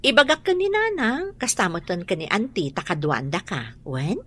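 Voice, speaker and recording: A woman's voice: medium pitch, big pitch swings, normal speed, fairly bright tone, slightly rough. A woman playing a caring working mother in an Ilocano family drama. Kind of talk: Ilocano radio-style family drama acting.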